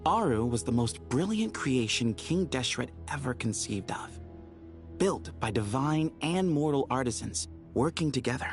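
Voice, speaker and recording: A man's voice: medium pitch, close to an everyday voice. A man playing an earnest, articulate young scholar who explains things in a video game.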